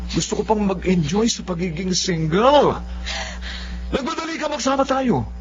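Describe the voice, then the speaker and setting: High-pitched, hot-tempered young boyfriend. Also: male voice, expressive, moderate pace, noisy background. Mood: angry, defiant. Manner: confrontational, blaming. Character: selfish, hot-tempered young live-in boyfriend, irresponsible father. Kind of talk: Tagalog radio drama acting. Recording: dull lo-fi audio.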